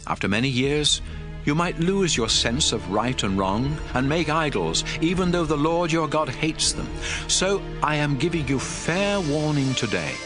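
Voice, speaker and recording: A man's voice: on the high side, expressive, measured pace. A man playing a stern, aged prophet exhorting his people in a solemn, emphatic dramatized scripture reading. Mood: stern warning. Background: music.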